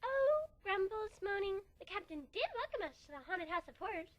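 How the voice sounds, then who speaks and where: A man voicing a cheerful, excitable pegasus mare in a squeaky, gushing, sing-song voice for an amateur dramatic story reading. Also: moderate pace, dry recording, heavily acted. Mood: bubbly, excited. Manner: bouncy and excited, teasing. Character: cheerful pegasus mare, bubbly, turns demented.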